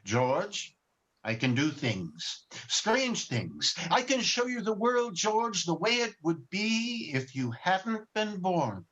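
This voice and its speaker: A man playing a gentle, earnest, bumbling guardian angel with a hesitant, genteel manner in 1940s radio drama style, recorded over a video call. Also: high voice, big pitch swings, takes it slowly, exaggerated voice. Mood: delighted, mysterious. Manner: hesitant, old-fashioned, genteel. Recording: dull, muffled video-call audio.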